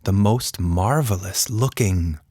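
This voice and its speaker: A man's voice: low-pitched, slow pace, a little mellow, no room sound, clean studio sound. A man narrating a scripted children's story as a storyteller.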